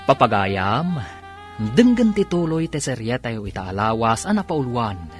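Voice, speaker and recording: Animated Ilocano male radio announcer over music. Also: mid-range voice, a little slow. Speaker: radio drama narrator and announcer. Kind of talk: dramatic scripted radio narration.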